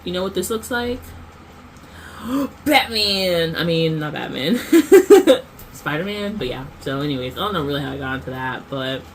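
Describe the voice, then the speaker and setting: A woman's voice: sits low, expressive, normal speed, a little hiss or hum. A woman vlogger rambling through a casual monologue, full of fillers and self-corrections.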